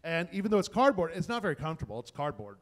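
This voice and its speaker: Male panelist, colloquial and keen, slightly high pitch, recorded in a live reverberant space. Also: sing-song, rapid-fire. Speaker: panelist, tech content creator. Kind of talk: casual panel talk. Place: indoor event space before a small audience. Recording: reverberant room, panel event.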